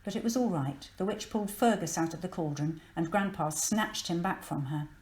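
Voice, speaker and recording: A woman's voice: fairly low pitch, moderate pace, a little mellow, roomy sound, low-quality recording. A woman narrating a children's story from a script, expressively and with dramatic emphasis. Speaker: storybook reader.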